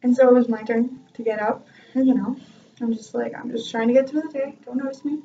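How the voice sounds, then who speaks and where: A woman's voice: on the high side, fairly even intonation, fairly quick, mellow, far from the mic, slight background noise. A young woman telling a self-mocking story from her music class in a chatty vlog, laughing often. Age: young adult. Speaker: vlogger and music student. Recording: dull lo-fi sound from off-mic.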